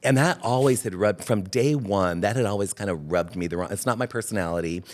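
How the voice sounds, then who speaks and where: A middle-aged man, a guest agency founder, talking in a relaxed, upbeat way in a casual interview. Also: fairly low pitch, fairly quick. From born in New Zealand, raised in South America. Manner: relaxed, upbeat, many "yeah"s.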